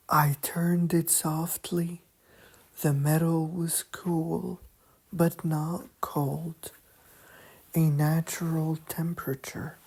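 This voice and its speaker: A woman narrating a bedtime sleep story in a soft, calm, hushed monotone with long pauses, often slipping toward a whisper. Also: low-pitched, breathy edge.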